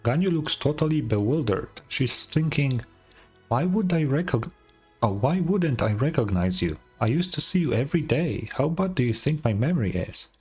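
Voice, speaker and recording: A streamer with a husky, medium-pitched voice chats casually over a video game. The commentary is informal and wry, with faint game music behind it. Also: lively intonation, normal speed, darkish tone. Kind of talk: casual live gameplay commentary.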